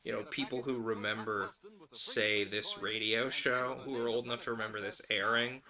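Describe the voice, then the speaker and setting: A man's voice: fairly low pitch, fairly even intonation, moderate pace, a crowd in the background, poor audio. A man chatting casually as he works on hand sewing, rambling along with wry, playful asides. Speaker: livestream host.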